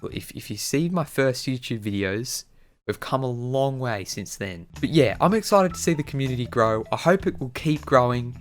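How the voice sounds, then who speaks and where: A man speaks in a relaxed, conversational way, like a video creator chatting directly to his audience. His voice sits at an average pitch for a man, and music plays behind him. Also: normal speed. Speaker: YouTube creator answering viewer questions. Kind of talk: casual chat to viewers.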